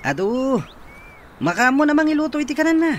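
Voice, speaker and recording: An actor playing a mocking, cruel accomplice in an Ilocano radio drama, with an exaggerated gloating manner. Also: male voice, very high voice, very animated, brisk, a little hiss or hum.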